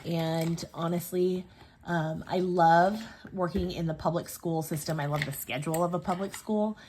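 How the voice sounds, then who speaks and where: A woman's voice: lowish voice, steady tone, moderate pace, darkish tone, a little hiss or hum. A woman host chatting casually through her weekly planner setup, stuffy-nosed from a cold, with lots of ums and self-corrections. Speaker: planner sticker channel host. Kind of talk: casual planning vlog chat.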